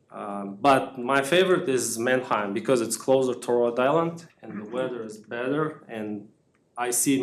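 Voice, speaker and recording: A man's voice: mid-range voice, echoey room. A man from Kazakhstan explaining his business plan at a town meeting, hesitant, with many fillers and restarts. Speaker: used car business applicant.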